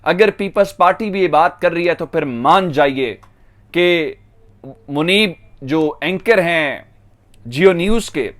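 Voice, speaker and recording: A man with a Pakistani accent hosts an online political news monologue. His voice sits clearly high for a man. He speaks emphatically, stretching the phrases he wants to stress. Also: animated, slow pace, fairly bright tone. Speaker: news commentator and channel host.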